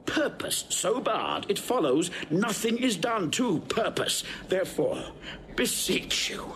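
Proud Roman warrior, classical declamation, contemptuous, swooping delivery, slow pace, faint background noise. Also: male voice, high-pitched, big pitch swings, exaggerated voice. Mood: scornful, impassioned. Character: proud, scornful Roman warrior nobleman, furious at commoners. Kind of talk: classical Shakespearean stage acting. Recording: restored old recording, audible background noise.

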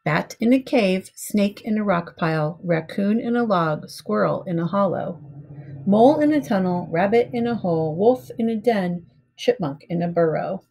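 A middle-aged woman reading a picture book aloud to young children in simple, measured storybook phrasing. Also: mid-range voice, lively intonation, gravelly, a little mellow, low-quality recording. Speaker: preschool teacher reading aloud. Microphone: webcam at arm's length.

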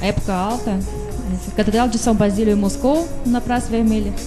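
A woman from Russia in her thirties, a tour guide interviewed on TV, answering in careful, measured Portuguese with a Russian accent into a handheld mic. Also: a little high, steady tone, over music. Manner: careful, measured, a little formal. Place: TV studio.

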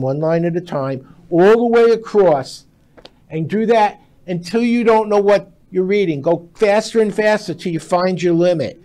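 A man's voice: a little high, a little mellow. A man in his sixties explaining things in an emphatic, instructive way, in short declarative sentences, as a guest expert in a studio interview. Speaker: guest expert, speed reading teacher. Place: TV-style studio at a glass desk. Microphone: lapel mic.

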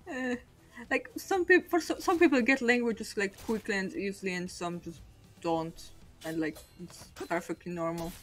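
A woman's voice: medium pitch, unhurried, long pauses, fairly bright tone, soft background music. A young woman from Poland with a Polish accent, speaking English as a second language and chatting casually while streaming a game, rambling and self-mocking. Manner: rambling, self-mocking, laughs often between thoughts. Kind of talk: casual chat over gameplay.

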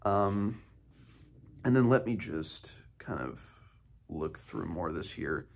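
A man in his twenties giving a casual, unscripted book discussion, halting and thinking aloud as he goes. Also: sits low, expressive, gravelly. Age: 20s. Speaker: book reviewer, host of a read-along. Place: small home room, indoors.

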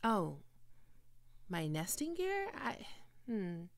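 Woman as a jittery young cat-vampire girl, stammers, lively swoops, slow unhurried delivery, dry close mic. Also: medium pitch, no echo, exaggerated voice. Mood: awkward friendly. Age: young adult. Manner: flustered, stammering, quick to take offense. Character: nervous young female neko-vampire roommate. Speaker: ASMR roleplay performer. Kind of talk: ASMR roleplay.

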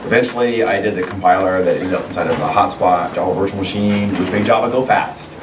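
A middle-aged man with a cold and a hoarse voice, giving a rambling, conversational university lecture with many restarts. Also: low-pitched, quick pace. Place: large lecture hall. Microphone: far room mic. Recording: echoey lecture hall from far off, noisy.

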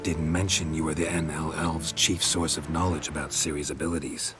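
A very deep-voiced, gravelly monster hunter, stoic and sardonic. He speaks in clipped, deadpan game dialogue over clear background music. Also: brisk. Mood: dry, probing. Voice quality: low, gravelly.

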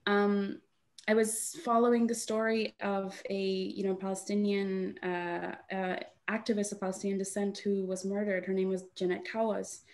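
A young woman from Honduras, a guest artist talking on a video call in a halting way, full of fillers and restarts. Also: medium pitch, little pitch movement, darkish tone. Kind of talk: casual webinar talk.

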